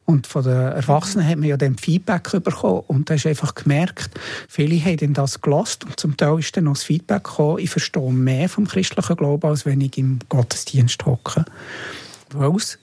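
Man for a conversational interview guest, fairly high gravelly voice, explains and rephrases. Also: fairly quick, warm tone, no room sound. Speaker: interview guest, radio drama producer.

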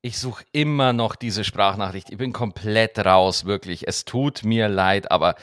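A man with a rolled R, co-hosting a podcast in casual chat, playful and quick to laugh. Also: on the low side, no room sound, clean studio sound. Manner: animated, playful, laughs often. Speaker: podcast co-host. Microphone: studio microphone.

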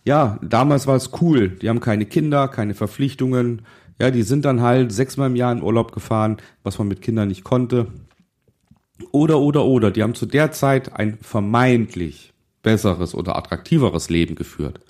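A man's voice: medium pitch, normal speed, a little mellow. A man in his forties giving a motivational monologue as a financial advisor, addressing the listener directly in an insistent, exhorting manner with rhetorical questions. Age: 40s. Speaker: financial advisor and podcast host.

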